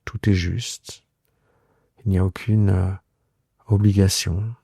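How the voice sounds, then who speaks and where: Very dark, low-voiced man guiding relaxation. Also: level tone, gravelly, slightly rough, no room sound. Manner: hushed, soothing, unhurried with long pauses. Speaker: yoga nidra guide and host. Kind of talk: guided relaxation meditation, improvised.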